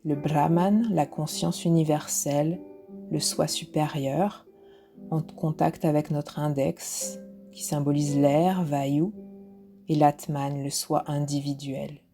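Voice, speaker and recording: Slow, low-pitched woman guiding meditation. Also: steady tone. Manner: soft, soothing, unhurried guidance with pauses. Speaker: yoga nidra instructor. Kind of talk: guided relaxation meditation. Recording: music behind the voice. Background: relaxation music.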